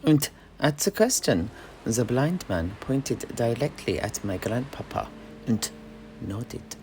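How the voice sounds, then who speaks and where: A man telling an in-character fantasy story in a dramatic, measured and halting manner. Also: medium pitch, big pitch swings, mellow, a little hiss or hum.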